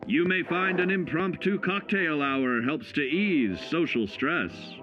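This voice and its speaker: An actor playing a cheerful, folksy narrator of 1950s-style government survival films, selling each point with measured, upbeat emphasis. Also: male voice, fairly high pitch, a bit bright, highs cut off. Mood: upbeat, instructive. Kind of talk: 1950s instructional film narration. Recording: vintage band-limited film sound, music behind. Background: music.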